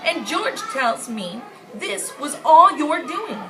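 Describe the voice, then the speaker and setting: A woman's voice: high-pitched, big pitch swings, takes it slowly, voice pushed up, exaggerated voice. A woman voicing a jovial, grand king in a children's animal story, with a hearty, regal, emphatic manner. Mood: hearty, pleased. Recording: clearly noisy amateur recording.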